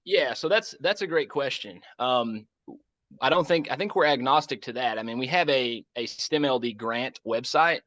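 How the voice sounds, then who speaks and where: An American man answering questions informally on a conference call as a project lead, circling back to rephrase in a halting way. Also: mid-range voice, a bit bright, dry recording. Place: conference call, dry close sound. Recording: muffled, dull conference call audio.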